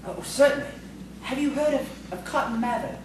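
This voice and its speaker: A woman's voice: on the high side, animated, normal speed, close to an everyday voice. A woman playing a polite, eager, bookish male schoolteacher in audio theatre, with a hesitant, formal manner. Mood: eager, informative. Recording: distant, very echoey, noisy stage recording.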